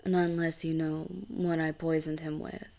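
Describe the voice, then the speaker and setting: A woman's voice: low-pitched, little pitch movement, mellow, lightly acted. A woman playing a smug, taunting assassin villain in a fantasy audio roleplay, mocking and coolly seductive. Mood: sly, bargaining. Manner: mocking, unhurried, breathy chuckles.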